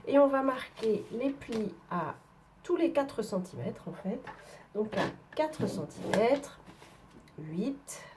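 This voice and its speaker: A woman's voice: fairly high pitch, expressive, slow pace, noisy background. Woman with a head cold teaching a craft. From northern France. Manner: chatty, explains while working, frequent fillers. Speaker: papercraft demonstrator. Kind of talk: craft tutorial.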